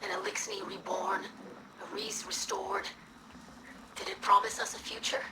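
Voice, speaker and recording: An actress voicing an earnest young female alien in a video game, with a breathy, whispery rasp and formal, measured speech. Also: medium pitch, little pitch movement, sharp tone, poor audio. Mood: solemn appeal. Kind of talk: scripted video game dialogue.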